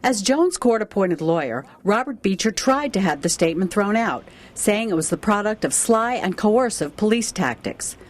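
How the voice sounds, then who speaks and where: A woman's voice: medium pitch, animated, moderate pace, few pauses. A woman reporter delivering scripted investigative news narration.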